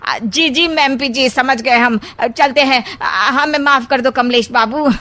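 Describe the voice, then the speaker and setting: A woman's voice: sits high, slow, pushed and bright, full character voice. A woman playing an oily, swaggering male village gangster in a story, boastful and falsely friendly. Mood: servile.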